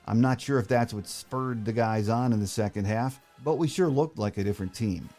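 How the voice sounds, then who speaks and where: A man's voice: mid-range voice, fairly quick, darkish tone, faint music behind. A man hosting a sports podcast, giving his commentary and interviewing a guest. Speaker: podcast host.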